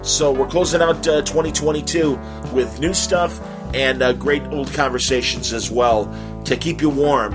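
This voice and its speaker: A man hosting a podcast, speaking casually and unscripted straight to listeners in a friendly, rambling way. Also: medium pitch. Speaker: podcast host. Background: guitar music.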